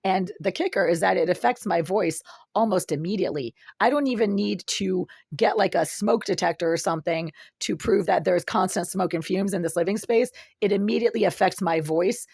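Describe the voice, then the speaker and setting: A woman with a low, raspy voice delivers an intense, accusing monologue to her camera. She talks in long run-on sentences. The sound is close and dry, with no room echo. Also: a little fast, a little mellow. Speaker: vlogger. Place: her apartment, sitting in the dark.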